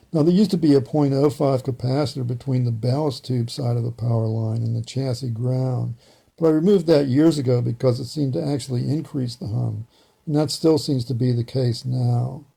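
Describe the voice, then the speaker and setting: Male hobbyist presenter, husky and low-key in tone, methodical hands-on explainer. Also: dark tone. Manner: methodical, matter-of-fact. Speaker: hobbyist presenter demonstrating radio repair.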